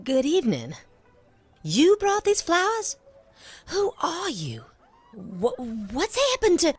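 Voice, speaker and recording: Anxious, animated young woman. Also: extremely high pitch, takes it slowly, faint music behind, full character voice. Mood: startled, anxious. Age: young adult. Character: anxious, sincere young working woman in love. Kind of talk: video game cutscene acting.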